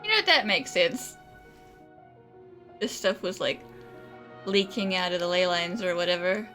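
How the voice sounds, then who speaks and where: A woman's voice: on the low side, expressive, unhurried, sharp tone. A woman giving casual, chatty and wry commentary as she plays through a video game. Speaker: gaming streamer. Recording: faint game music behind.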